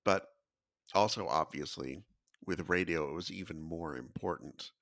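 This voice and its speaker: A man's voice: low-pitched, unhurried, a bit bright, dry recording, studio quality. A man co-hosting a podcast, speaking casually and informatively. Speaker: podcast co-host.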